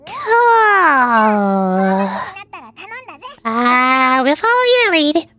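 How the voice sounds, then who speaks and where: A woman's voice: high-pitched, huge pitch swings, very slow, sharp tone. A woman VTuber with a playful, cutesy, chatty manner, commenting on a game and reading its dialogue aloud.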